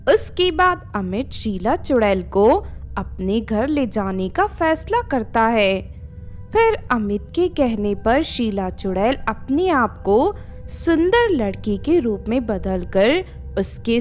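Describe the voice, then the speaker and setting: A woman's voice: on the high side, very animated, slow, faint music behind. A woman narrating a scripted children's story as its storyteller.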